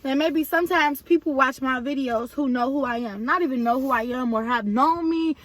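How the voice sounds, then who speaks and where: A woman vlogger, slightly hoarse, chatting casually and directly to her viewers. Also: sits high, normal speed, no echo.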